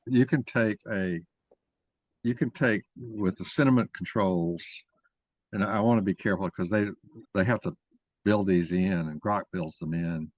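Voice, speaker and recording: A man moderating an informal discussion, talking in a halting, rambling way as he thinks aloud. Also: deep voice, dry recording. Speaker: moderator of an online mock trial.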